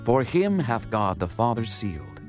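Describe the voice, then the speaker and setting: A man acts as a calm spiritual teacher in a scripture drama. His pitch is medium, his delivery measured, solemn and full of authority. Soft music plays behind him. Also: close to an everyday voice. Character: Jesus, a calm, authoritative adult male teacher.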